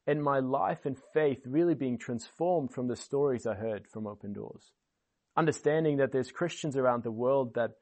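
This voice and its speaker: A man's voice: mid-range voice, darkish tone. A man in his twenties from Switzerland with a Swiss accent, giving an earnest, enthusiastic sermon as a guest speaker at an online church service.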